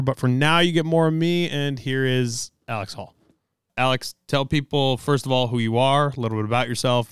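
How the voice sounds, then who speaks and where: A man's voice: on the low side, clear, resonant tone, dry recording, studio quality. A man hosting a podcast, talking loosely with lots of fillers and swearing, and tired after a long day. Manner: loose and profane, many fillers. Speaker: podcast host. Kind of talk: casual podcast talk with ad reads.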